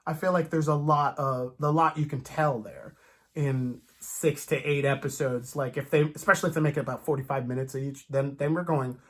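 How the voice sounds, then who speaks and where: A man co-hosting a fan discussion in a casual, animated way, often slipping into imagined quotes as he talks. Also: medium pitch, normal speed. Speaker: co-host of a fan discussion show.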